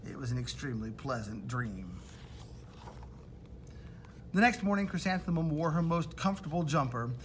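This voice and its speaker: A man reading a children's picture book aloud expressively, with emphatic, theatrical phrasing and deliberate pauses. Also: a little high, expressive, a little hiss or hum. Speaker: storybook reader.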